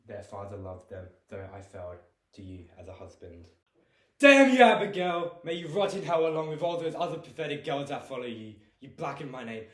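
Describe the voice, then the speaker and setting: A man's voice: on the low side, close to an everyday voice. A young man performing a guilt-ridden condemned man in a jail cell, solemn and pleading. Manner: solemn, builds to furious shouting. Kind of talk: dramatic monologue performance. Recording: roomy echo.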